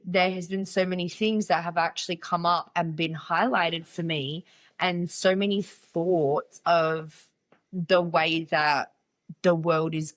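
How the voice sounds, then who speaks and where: Nasal, stuffy-voiced woman chatting slowly. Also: fairly low pitch, a bit bright. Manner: candid, playful, chatty. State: nasal from a cold. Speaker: podcast host. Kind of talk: casual solo podcast chat.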